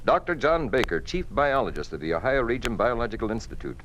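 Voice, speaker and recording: An actor playing a fond, teasing middle-aged American professor in a playful 1950s radio drama style. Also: male voice, medium pitch, very animated, fast talker, a bit bright, rough recording. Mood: mock pompous. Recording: hissy 1950s radio recording.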